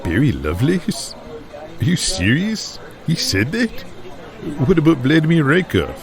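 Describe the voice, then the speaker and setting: A man playing a chummy, excitable male veteran wrestler who blurts out questions and calls people brother. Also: on the high side, unhurried, crowd noise, exaggerated voice. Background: music underneath.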